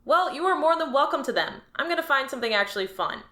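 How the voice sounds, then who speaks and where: A woman's voice: sits high, expressive, fast talker, pushed and bright. A woman voicing a bored young male alien office clerk who whines and mocks his useless job, in an audio drama. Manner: sarcastic, whiny, restless.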